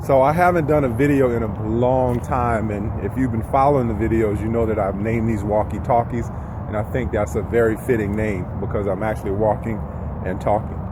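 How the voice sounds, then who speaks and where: A man's voice: fairly low pitch, fairly even intonation, fairly quick. A man giving an informal, reflective motivational talk while walking outdoors, speaking directly to the listener in a conversational way. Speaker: vlogger giving personal development advice. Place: outdoors, walking near traffic. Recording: clear outdoor traffic and street noise.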